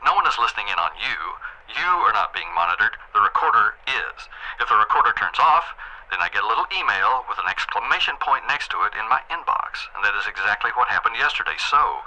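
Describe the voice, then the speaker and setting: Sharp, bright-voiced, falsely polite controlling boss. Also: male voice, lowish voice, expressive, rough recording. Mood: stern, controlled. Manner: condescending, measured, passive-aggressive. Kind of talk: naturalistic audio drama acting.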